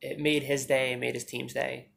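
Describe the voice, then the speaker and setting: Fast-talking joking woman, deep creaky voice. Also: level tone, a bit bright. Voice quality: naturally deep voice held higher. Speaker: YouTuber. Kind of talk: casual storytelling vlog. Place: indoors at home, TV behind her. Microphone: phone.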